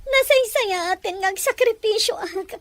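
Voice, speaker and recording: Woman acting very high, plaintive young woman. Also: a little slow. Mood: tearful, resigned. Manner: plaintive, emotional. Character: gentle, poor young woman sacrificing her love. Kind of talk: melodramatic radio-style drama acting.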